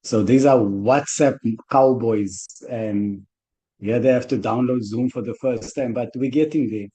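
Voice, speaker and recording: A man's voice: medium pitch, a little slow, mellow, low-quality recording. A South African man speaking in an earnest, loose, conversational way as an organizer at an online conference, heard over a video call. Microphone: computer mic on a video call.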